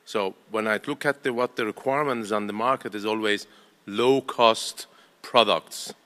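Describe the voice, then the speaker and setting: A man's voice: fairly low pitch, steady tone, normal speed, bright, forceful tone. A man giving an emphatic, choppy spoken comment at a roundtable event, often posing rhetorical questions. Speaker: company representative at a roundtable.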